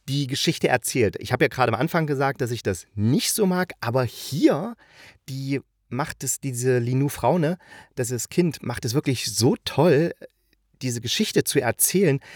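A man from eastern Germany presents a casual spoken review. His voice sits at an average male pitch, and he talks in a chatty, enthusiastic manner. The recording is dry, with hardly any room sound. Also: no echo. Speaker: audio drama review host.

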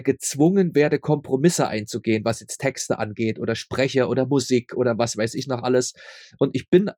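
A man's voice: on the high side, darkish tone, no room sound, studio quality. A man chatting casually as an interview guest, stammering over repeated words and tossing in ironic asides. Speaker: interview guest, audio drama producer.

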